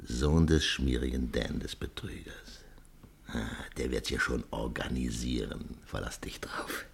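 A man's voice: unhurried, vocal fry, breathy edge, heavily acted. A man playing an irascible, sardonic Dublin father in a German radio drama, grumbling with caustic bluster. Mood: muttering suspicion. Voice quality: very deep voice. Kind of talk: German radio play acting.

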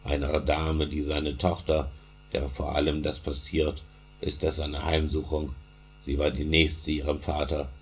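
A man's voice: rumbling low, monotone, a little slow, husky. A man reciting a mourning lament in a chanting delivery, returning again and again to a repeated refrain.

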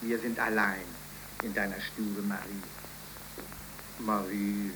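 An actor playing a stern, sanctimonious suitor with a solemn, declamatory, insistent delivery in a 1930s radio play. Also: male voice, on the low side, little pitch movement, takes it slowly. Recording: hissy 1930s radio recording.

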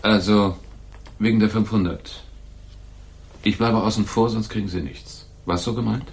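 A man's voice: fairly low pitch, fairly even intonation, darkish tone, natural voice. An actor playing a big, tough, shady man in a noir radio play, skeptical and sardonic as he bargains. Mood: businesslike. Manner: sardonic, wary, deliberate. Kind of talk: 1980s German radio play, noir dialogue.

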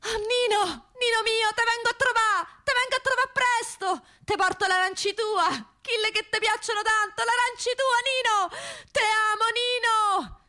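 Lovesick woman, Roman accent, high-pitched, impassioned drawn-out calls, stage monologue. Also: pushed and bright. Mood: yearning, impassioned. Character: lovesick Roman woman shouting across the hillside to her jailed sweetheart. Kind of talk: theatrical calling out from afar.

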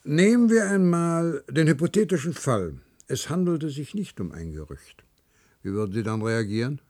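An actor playing a guarded, calculating president in a German radio play, measured, formal and cagey. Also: male voice, on the high side, big pitch swings, a little mellow, no room sound, studio quality.

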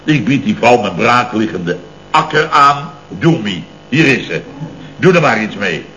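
An actor playing an indignant, rambling middle-aged contractor boss in a radio comedy, full of exclamations and rhetorical questions. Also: male voice, mid-range voice, expressive, measured pace, sharp tone. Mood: self-satisfied. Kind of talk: 1970s Dutch radio comedy acting. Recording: dull, noisy old radio recording.

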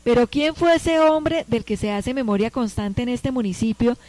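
Radio drama: brisk female schoolteacher acted by a woman, emphatic, instructive, commanding a teenage history class. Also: a little high, expressive, a little mellow, no echo, voice pushed up, close to an everyday voice. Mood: lecturing, emphatic.

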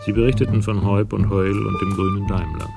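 Low, gravelly male radio drama narrator. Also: steady tone, fairly quick, music in the background.

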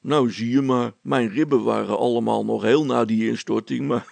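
Man playing a gravelly, mocking village policeman. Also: medium pitch, steady tone, normal speed, a little mellow, no room sound, voice pushed down. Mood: chuckling, teasing. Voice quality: hoarse, gravelly. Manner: sardonic, colloquial grumbling. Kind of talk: children's story character acting.

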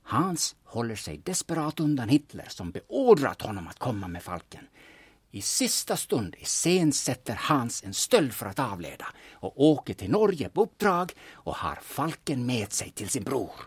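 A man playing a shrewd, secretive older veteran turned sleuth in an audio drama, husky and deliberate in a theatrical way. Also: medium pitch, sing-song, no room sound. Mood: intense, explaining. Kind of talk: serial audio drama acting.

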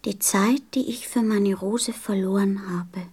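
A woman's voice: medium pitch, steady tone, measured pace, darkish tone, slightly rough. A woman playing a tender, innocent little boy prince in a radio play, speaking earnestly and carefully. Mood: thoughtful.

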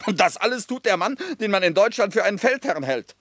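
A man's voice: sits high, animated, a little fast, fairly bright tone, dry recording, clean studio sound. An actor playing a defiant, scornful revolutionary politician in a German radio play, lecturing his comrades.

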